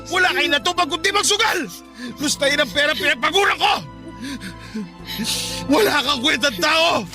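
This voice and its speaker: A grieving, furious father in a radio melodrama. His voice is pitched extremely high and rings very bright and forceful. He delivers everything with anguish and accusation, over faint music. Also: normal speed, heavily acted. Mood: furious, accusing. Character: grieving, furious working-class father blaming his wife. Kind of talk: melodramatic radio drama acting.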